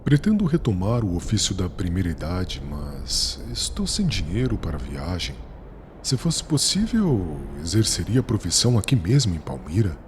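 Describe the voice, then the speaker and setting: A male narrator puts on a humble young disciple in a spoken audiobook. His voice is lower and hushed, earnest and hesitant, with a lively pitch that rises and falls. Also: moderate pace, a little hiss or hum. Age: young adult. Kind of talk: audiobook dialogue reading.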